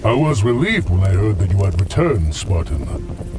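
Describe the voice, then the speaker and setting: Actor as a stately, low alien warrior leader. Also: male voice, very animated, over loud music. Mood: solemn. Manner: formal, stately, measured oratory. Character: noble, weary alien warrior leader, honorable and solemn. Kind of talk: science fiction audio drama acting.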